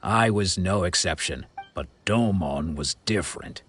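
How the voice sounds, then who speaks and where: Embittered veteran swordsman, game role: lowish voice, measured, dignified, reflective, formal. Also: fairly bright tone, slightly rough, heavily acted. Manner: measured, earnest, formal storytelling. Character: proud, embittered former senior swordsman disciple, grave, reflective. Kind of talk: video game voice acting. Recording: music playing behind the voice.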